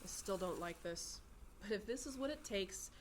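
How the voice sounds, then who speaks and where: An actress playing a reluctant, worried but tough city cop in a radio drama. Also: female voice, mid-range voice, lively intonation, moderate pace, lightly acted. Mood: grudging, worried. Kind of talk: amateur radio drama acting. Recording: faint noise and ambience.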